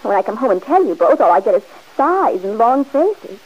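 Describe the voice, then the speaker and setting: A woman's voice: on the high side, sing-song, normal speed. An actress playing a headstrong young woman about to marry, insistent and defensive, in a 1940s radio drama. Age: young adult. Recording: dull old radio recording.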